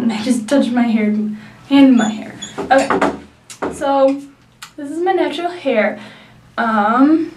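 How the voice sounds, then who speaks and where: A woman's voice: high voice, a little mellow, far from the mic, slight background noise. A young woman with a nasal voice from a sinus infection, chatting through a hair tutorial with many likes and ums. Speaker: beauty vlogger. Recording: echoey room, mic set back.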